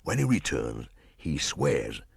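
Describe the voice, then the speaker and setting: A man as a loyal grey wolf, very low pitch, husky, grave, anxious, storybook. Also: very animated, slow, dry recording, clean studio sound, exaggerated voice. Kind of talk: storybook audiobook acting.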